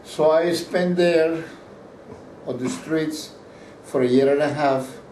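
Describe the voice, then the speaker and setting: A man's voice: on the high side, takes it slowly, dark tone. An older man from Peru, a painter whose first language is Spanish, answering interview questions at length with restarts and repeated words. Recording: roomy, distant mic, faint background hiss.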